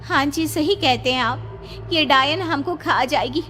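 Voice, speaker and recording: A terrified village wife in a cartoon horror tale, acted by a woman. She is alarmed, with a very high, piercing voice and faint music behind. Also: measured pace, sharp tone. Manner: shrill, alarmed. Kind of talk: animated horror story voice acting.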